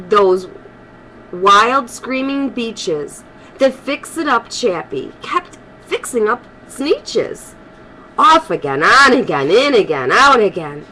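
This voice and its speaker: An older woman reading a storybook aloud to children, animated, pausing for emphasis. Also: on the high side, a little hiss or hum, poor audio. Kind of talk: expressive storybook read-aloud.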